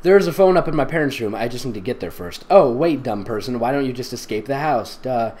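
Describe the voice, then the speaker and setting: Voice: medium pitch, lively intonation, brisk, darkish tone. A theatrical, sarcastic reader giving a comedic dramatic reading, breaking off into exasperated, mocking asides. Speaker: fanfiction reader, voice actor.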